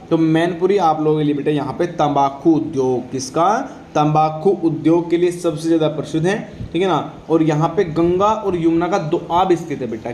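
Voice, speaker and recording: A man's voice: fairly high pitch, darkish tone. A man teaching exam material informally, often repeating questions and answers and checking that students follow. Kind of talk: informal lecture on exam general knowledge. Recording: echoey room with faint background noise.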